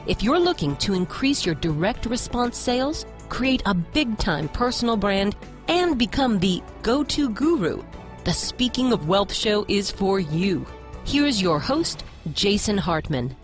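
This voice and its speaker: Lively female promo announcer over music. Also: mid-range voice, a little slow.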